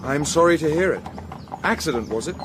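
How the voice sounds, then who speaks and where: An actor playing a polite, smooth-talking young Victorian scholar in a radio drama. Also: male voice, on the high side, expressive, fairly quick, very noisy, lightly acted. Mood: courteous.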